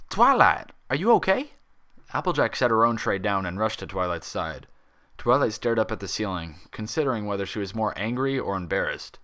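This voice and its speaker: A man narrating a fan fiction story as an expressive reader. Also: on the low side.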